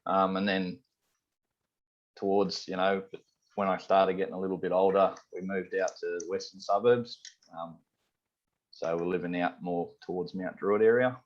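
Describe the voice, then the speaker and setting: A man's voice: low-pitched, moderate pace. A man from Sydney with an Australian accent, a head chef chatting casually in an interview, rambling with lots of "you know" and "um". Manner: rambling, relaxed, many fillers like "you know" and "um". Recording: slightly dull lo-fi audio.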